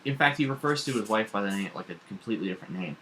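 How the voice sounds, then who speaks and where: Deep, bright-voiced young man, chatting casually. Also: lively intonation, rapid-fire, a little hiss or hum. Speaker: vlog co-host. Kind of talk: casual chat about comics and horror films. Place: home kitchen.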